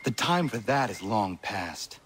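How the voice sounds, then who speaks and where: A man acting a resolute young warrior who speaks gravely and deliberately in a video game cutscene. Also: fairly low pitch, very animated, a bit bright, a little hiss or hum. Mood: cold anger. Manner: stern, deliberate, accusing.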